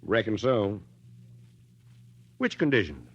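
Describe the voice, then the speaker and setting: A muffled old 1940s radio recording carries an easygoing small-town American mayor. He is folksy and drily stubborn, reasoning things out in a lively, swinging voice. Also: lowish voice, takes it slowly, slightly rough, heavily acted. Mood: calm, wry.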